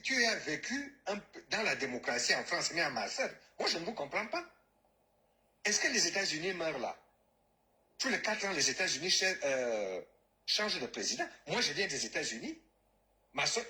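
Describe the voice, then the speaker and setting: A man's voice: high voice, bright, forceful tone. A Cameroonian man ranting about politics, mocking and scornful, and mixing in local-language phrases. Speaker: caller, diaspora activist. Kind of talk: heated political rant.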